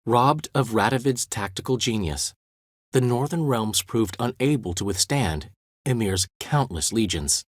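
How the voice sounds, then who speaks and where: A man's voice: mid-range voice, lively intonation, a little slow, no echo, studio quality. An actor voicing a vain, witty male bard in a video game, speaking in flamboyant, florid phrases. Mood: lively. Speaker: game character. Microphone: dry studio recording.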